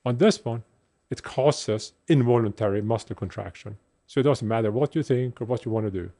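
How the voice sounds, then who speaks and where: A man with a Norwegian accent talks through engineering stories in a plain, matter-of-fact way. His voice sits at an ordinary middle pitch, recorded on a podcast mic. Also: brisk, warm tone. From Norway. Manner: plainspoken, technical storytelling. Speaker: guest engineer. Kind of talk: casual chat.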